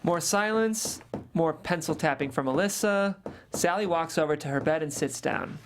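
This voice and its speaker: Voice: mid-range voice, normal speed, a little hiss or hum. A narrator reading stage directions aloud in a script table read, plain and matter-of-fact.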